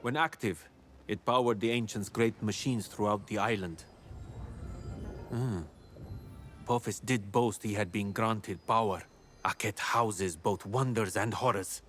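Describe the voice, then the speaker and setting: Give this game character the low voice of an ancient, commanding sage. He speaks in a stately, formal way, grave and deliberate in his wording. Music plays behind him. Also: a bit bright. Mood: solemn, then brooding. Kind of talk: scripted video game quest dialogue.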